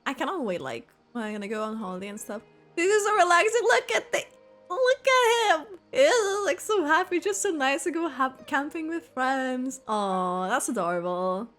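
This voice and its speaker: A woman giving an expressive, casual live reaction while playing a video game. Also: sits high, moderate pace. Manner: expressive, echoes game dialogue. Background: game music.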